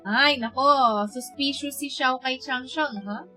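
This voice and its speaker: High-voiced Filipino woman streaming a game. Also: a little slow, bright, forceful tone. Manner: chatty, reactive, switches between two languages. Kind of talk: casual live gameplay commentary. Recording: stream voice with game music behind. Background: game music.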